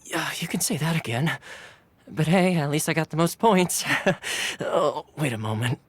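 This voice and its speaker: A man's voice: fairly high pitch, lively intonation, measured pace, slightly rough, no echo. An actor playing a cheerful young male retainer in a video game, easygoing and polite, with a playfully boastful streak. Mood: amiable. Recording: game audio with soft music behind.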